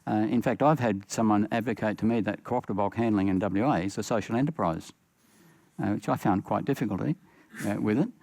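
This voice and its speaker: Older aussie bloke on a panel, gravelly low voice, lots of uhs, dry sound. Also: male voice, a little mellow, dry recording. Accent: Australian. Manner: halting, many uh fillers. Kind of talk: panel discussion.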